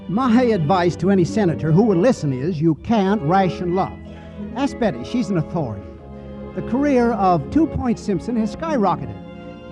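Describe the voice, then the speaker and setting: A man hosting and narrating a 1940s radio comedy in a droll, wry manner. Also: high voice. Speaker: host and narrator. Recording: dull 1940s radio broadcast.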